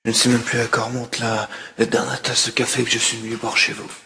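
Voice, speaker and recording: A man playing a proud, reproachful mafia godfather in a measured way, in a film fan dub. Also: medium pitch, monotone, creaky, bright, forceful tone. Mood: reproachful, wounded pride.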